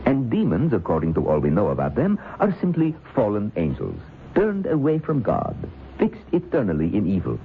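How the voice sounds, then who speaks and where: An actor in an old radio drama playing a menacing, self-assured occult author with formal, deliberate, condescending speech. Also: male voice, on the low side. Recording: muffled, noisy old radio broadcast.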